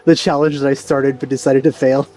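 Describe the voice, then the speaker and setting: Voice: medium pitch, moderate pace, warm tone. A let's play host giving casual gameplay commentary, reacting and musing aloud. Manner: reacts aloud, muses to self. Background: faint game music.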